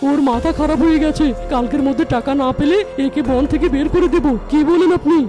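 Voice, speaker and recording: A man voicing a bullying animal sidekick to a village headman in a cartoon, loud, fawning and threatening. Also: very high-pitched, fast talker, music in the background. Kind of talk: cartoon character voice acting.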